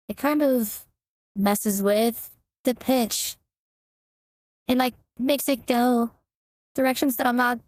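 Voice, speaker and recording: A man voicing a hesitant young androgynous game character through a pitch-raising voice changer. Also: a little mellow, no echo. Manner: hesitant, repeating short phrases.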